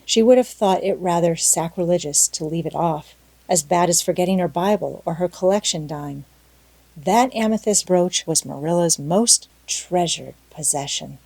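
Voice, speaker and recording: A woman reading a classic story aloud as an audiobook narrator, measured and expressive. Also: lowish voice, gravelly, husky, no echo.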